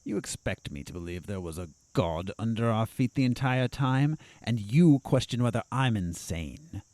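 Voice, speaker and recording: An actor playing a sardonic asylum doctor in an audio drama, probing and needling in a confrontational way. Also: male voice, medium pitch, expressive, moderate pace, a little mellow, no room sound, natural voice. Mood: skeptical.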